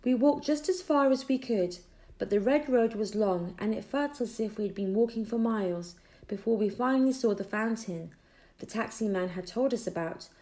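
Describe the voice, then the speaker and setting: A woman narrating a children's audiobook expressively, with deliberate storytelling phrasing. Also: fairly high pitch, warm tone. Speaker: audiobook reader.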